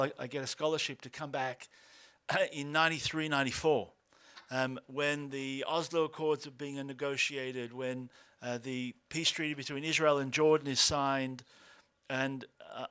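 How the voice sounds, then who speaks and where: Aussie guy in his 50s giving a talk, lots of uhs and restarts, kinda high smooth voice. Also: bright, forceful tone. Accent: Australian. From Australia. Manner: halting, many fillers and restarts. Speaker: organization co-founder. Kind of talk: speaking at a lunch talk.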